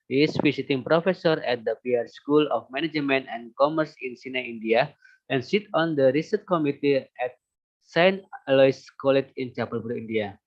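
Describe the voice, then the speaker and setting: A man hosts an academic webinar and reads out a formal biography. His delivery is halting, with stumbles on names. The sound is dull and lo-fi, as from an online call, and his pitch is average for a man. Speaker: moderator introducing a speaker. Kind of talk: formal reading of a speaker biography. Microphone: video call.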